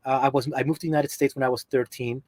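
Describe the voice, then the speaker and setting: A man's voice: mid-range voice, steady tone, racing, darkish tone, dry recording. A middle-aged man with a Peruvian accent, chatting casually as a podcast guest about his hobby. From Peru. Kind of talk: casual chat about collecting. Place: basement room at home in a video call.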